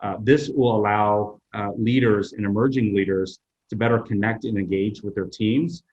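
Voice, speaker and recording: Middle-aged man, Vietnamese native, low voice, hesitant-measured delivery over dull call audio. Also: steady tone, darkish tone, husky. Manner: measured, many "uh" pauses. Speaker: HR executive guest. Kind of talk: interview answers.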